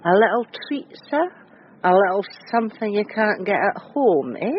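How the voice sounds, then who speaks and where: A woman's voice: mid-range voice, very animated, moderate pace, lightly acted. Brazen female streetwalker, acted, coaxing delivery, muffled radio-drama recording with light noise. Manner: coaxing, suggestive.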